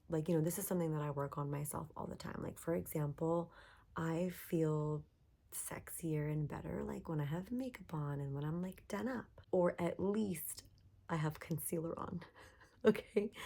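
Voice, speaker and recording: A young woman hosting a self-help podcast from her bedroom, her voice partly lost and hoarse, talking in an upbeat, chatty way with lots of "like"s. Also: sits low, dark tone. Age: young adult. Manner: chatty, upbeat, frequent likes and laughs. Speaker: podcast host.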